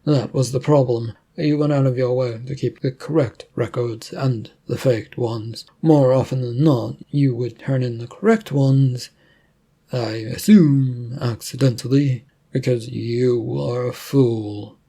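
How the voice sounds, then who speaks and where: A man voicing a cold, menacing male government agent in a story, formal, deliberate and accusing. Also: mid-range voice, warm tone, slightly rough. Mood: cold contempt.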